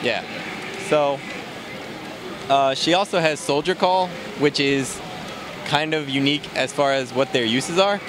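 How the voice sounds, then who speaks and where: A man casually walking through a game character's moves, explaining in a relaxed way with many fillers, recorded at a noisy event. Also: a little high, measured pace, long pauses. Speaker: game developer demoing a character. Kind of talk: casual gameplay walkthrough. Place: convention floor, noisy.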